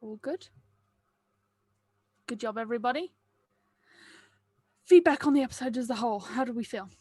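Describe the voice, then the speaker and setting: Higher-pitched woman hosting, very dry sound. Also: normal speed, dark tone, husky, dry recording. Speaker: host running a table read. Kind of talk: casual group chat.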